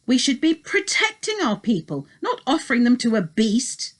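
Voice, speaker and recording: Bold young warrior prince for a storybook, played by a woman: determined, emphatic, animated, slightly raised pitch. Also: normal speed. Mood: resolute. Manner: emphatic, declaiming. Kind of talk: storybook character voice.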